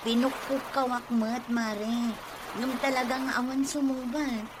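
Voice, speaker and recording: A woman's voice: a little high, steady tone, a little slow, lightly acted. An actress with a Filipino Ilocano accent playing a fed-up, nagging wife and mother in a radio drama. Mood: worried. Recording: noisy radio drama recording.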